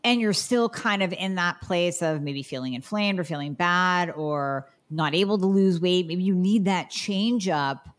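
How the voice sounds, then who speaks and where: Low-voiced woman podcast host. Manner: energetic, explains at length, affirming. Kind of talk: casual health podcast talk.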